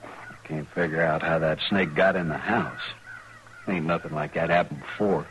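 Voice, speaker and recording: An actor playing a bitter, hot-tempered frontier farmer in a 1950s Western radio drama, with rustic, ungrammatical speech. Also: male voice, a bass voice, steady tone, moderate pace, fairly bright tone. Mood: brooding. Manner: rustic ungrammatical drawl, accusing. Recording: noisy vintage radio recording.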